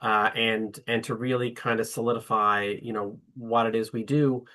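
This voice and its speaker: Male panelist, bright tone, halting with lots of fillers. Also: mid-range voice, little pitch movement. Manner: hesitant, many fillers and restarts. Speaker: orchestra representative. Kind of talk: webinar panel talk.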